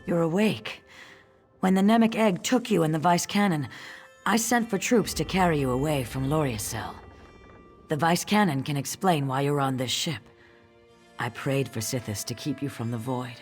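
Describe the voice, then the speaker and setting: A woman's voice: low voice, moderate pace, soft background music, close to an everyday voice. A woman playing an earnest, devout and concerned ally in a fantasy game. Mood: concerned, relieved.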